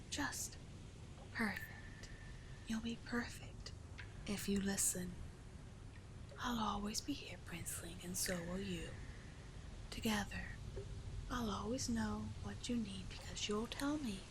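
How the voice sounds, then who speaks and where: A woman voicing a seductive, manipulative sea witch in a soft breathy whisper, coaxing and tenderly possessive, in an ASMR roleplay style. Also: mid-range voice, noisy background, natural voice. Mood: soothing, possessive.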